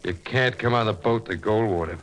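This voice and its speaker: A man's voice: lowish voice, fairly quick, a bit bright, close to an everyday voice. A man playing a devoted, earnest, plain-spoken fishing boat skipper in a 1940s radio drama. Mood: pleading, tender. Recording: noisy old radio recording.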